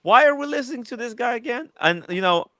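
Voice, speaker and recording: Guy in his 30s hosting a chill chat, excitable, keeps restarting himself, dry close sound. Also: very high-pitched, no room sound. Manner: excitable, swearing, fast restarts. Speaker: host. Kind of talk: casual chat.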